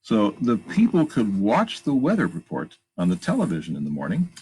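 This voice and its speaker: Storytime reader: man, gravelly voice with expressive swings, reading aloud and commenting on the pictures. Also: fairly low pitch, low-quality recording. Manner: pauses to point out pictures and ask listeners questions; animated, emphatic read-aloud delivery. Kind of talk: reading a picture book aloud with chatty asides.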